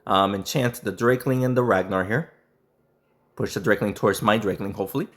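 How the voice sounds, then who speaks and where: A man with a hoarse voice, sick and nearly losing it, commenting casually on a mobile game match he is playing. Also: on the low side. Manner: throat clearing, halting restarts. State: sick, voice strained and nearly lost. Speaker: gaming YouTuber.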